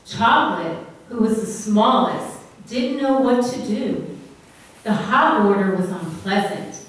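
A young woman telling a story to children at church in a slow, gentle way, asking them questions as she goes. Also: medium pitch, animated. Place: church sanctuary, at the front. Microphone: far room camera. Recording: very echoey church from far off.

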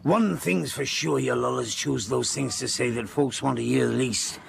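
An actor playing a bossy, wary sailor with archaic rustic seafaring speech in a radio drama. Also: male voice, medium pitch, normal speed, vocal fry, background noise. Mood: tense, angry. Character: bossy wandering sailor, wary smooth talker.

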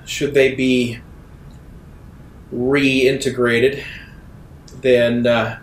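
A man voicing a stern, authoritarian female security captain in a tabletop game, with a measured, accusing manner. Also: mid-range voice, flat delivery, echoey room, slight background noise. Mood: defiant, accusing.

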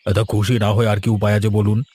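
A man's voice: on the low side, little pitch movement, fairly quick, mellow, husky, no room sound. A man voicing a worried, aching, grumbling village husband in a Bengali cartoon, with a plaintive manner. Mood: polite, uneasy.

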